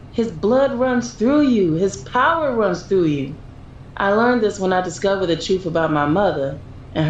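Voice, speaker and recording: An actress playing an earnest, consoling superhero teammate in an audio drama, solemn and encouraging. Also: female voice, fairly low pitch, close to an everyday voice. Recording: roomy, dull sound, faint noise.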